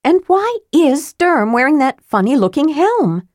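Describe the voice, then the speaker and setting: A woman's voice: no echo, studio quality. A woman doing a feisty, insistent young heroine. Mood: urgent. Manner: insistent, excited, high and quick to object. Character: feisty young woman fighter, bold and impatient.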